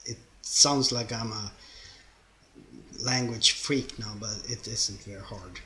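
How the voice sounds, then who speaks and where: Slow-talking young Swedish man, bright voice. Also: on the low side, steady tone, a little hiss or hum. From Sweden. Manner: chatty and rambling, loose grammar, frequent fillers. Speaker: YouTuber answering viewer questions. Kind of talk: casual Q&A vlog. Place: home, lives in Norway.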